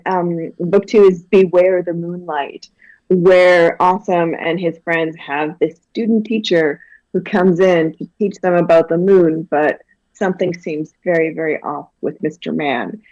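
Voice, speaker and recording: A woman's voice: on the low side, fairly even intonation, measured pace, darkish tone, no echo, lo-fi sound. A woman from Guyana, a children's author, chatting animatedly in an interview with lots of fillers and restarts. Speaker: children's book author, guest. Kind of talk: casual interview chat.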